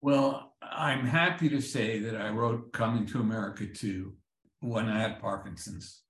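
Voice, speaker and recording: A man and comedy writer turned professor, answering interview questions with dry, self-deprecating humor and frequent halting restarts over a video call. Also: fairly low pitch, fairly bright tone. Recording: roomy echo.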